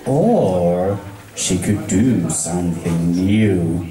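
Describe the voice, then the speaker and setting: A man's voice: deep voice, animated, drawn-out words. A man with a Finnish accent reading a story aloud to a group as an amateur, stumbling over words and rereading them. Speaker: amateur fan fiction reader. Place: echoey room at a fan meet-up. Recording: echoey room with background crowd.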